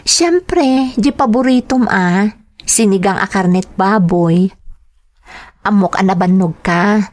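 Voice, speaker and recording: An actress with a Filipino Ilocano accent playing a dutiful, soft-spoken young wife in an audio drama. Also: female voice, medium pitch, takes it slowly, natural voice. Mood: caring. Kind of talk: radio-style drama acting.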